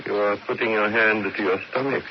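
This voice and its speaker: An actor playing an imperious German dictator in a radio drama, speaking in an authoritative, declamatory manner. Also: male voice, lowish voice, steady tone, a little fast, lightly acted. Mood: grandly approving. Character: imperious German dictator, grandly approving host. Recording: muffled, noisy radio recording.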